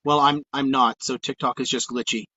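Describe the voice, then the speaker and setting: Halting man on a muffled phone stream. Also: mid-range voice, fairly even intonation, pushed and bright, husky, no room sound. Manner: halting, many restarts, plain-spoken. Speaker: host. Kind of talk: casual live-stream chat. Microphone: spare phone.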